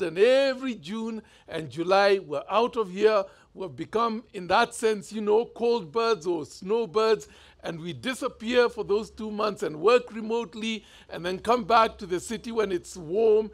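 A Kenyan man in his fifties preaches from a church stage with a fairly high voice. He tells his story in a relaxed, conversational way, gesturing as he talks. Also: unhurried. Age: 50s. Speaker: bishop preaching. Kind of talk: sermon.

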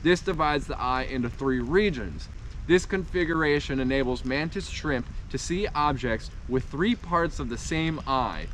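A man's voice: medium pitch, a bit bright, a little hiss or hum. A young man co-hosting a hiking vlog, outdoors in bare woods, reading facts aloud and stumbling over hard words. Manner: reads haltingly, stumbles on words, laughs often. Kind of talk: casual chat, reading facts aloud from a phone. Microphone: 360 camera on a handheld stick, a few steps off.

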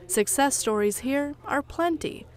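Woman reporting news in measured tones. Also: sits high, expressive. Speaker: television reporter. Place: television studio.

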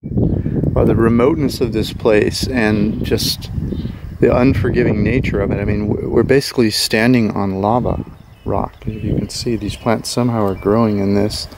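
A man's voice: lowish voice, normal speed, heavy background noise. A middle-aged man giving a casual, rambling tour of an island as a travel vlogger. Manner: relaxed, rambling tour-guide talk. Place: outdoors on a green hillside.

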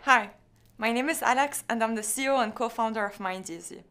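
A young woman from Lebanon, a startup founder, delivering a rehearsed, measured pitch. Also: a bit bright, clean tone. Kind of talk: scripted pitch.

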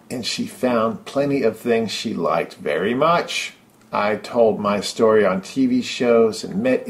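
A middle-aged man giving an upbeat, enthusiastic first-person narration of a travelogue. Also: medium pitch.

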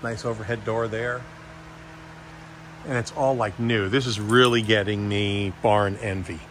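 A man's voice: fairly low pitch, fairly even intonation, a little slow, fairly bright tone, a little hiss or hum. A man working as a real estate agent, talking casually and jokily through an unscripted walkthrough tour. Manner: folksy, offhand, jokey.

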